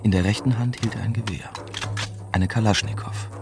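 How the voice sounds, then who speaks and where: Casting: young journalist narrator, lowish pitch, measured scripted storytelling, prominent music underneath. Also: male voice, fairly even intonation, vocal fry. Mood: measured, suspenseful. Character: young German journalist recounting his Iraq mission. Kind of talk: scripted first-person radio drama narration.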